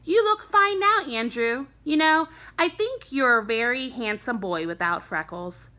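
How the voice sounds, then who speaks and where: A woman voicing a kindly but firm female schoolteacher who speaks to children in measured phrases. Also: sits high, lively intonation, sharp tone, voice pushed up, full character voice. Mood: warm, reassuring.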